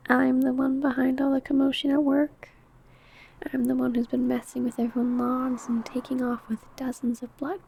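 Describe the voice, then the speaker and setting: A woman playing a seductive, possessive female vampire who stalks her prey in an intimate ASMR roleplay, teasing and menacing in a breathy, often whispered voice. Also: high voice, warm tone, heavily acted. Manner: slow, teasing, menacing, deliberate.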